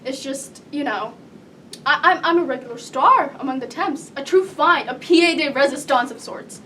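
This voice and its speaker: A woman's voice: high voice, normal speed, pushed and bright. A woman performing a stage monologue as a nervous, rambling thirty-year-old job applicant who keeps correcting herself. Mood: flustered, defensive. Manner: rambling, nervous, self-correcting with awkward laughter. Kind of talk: live theatrical comic monologue. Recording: distant stage mic with background noise.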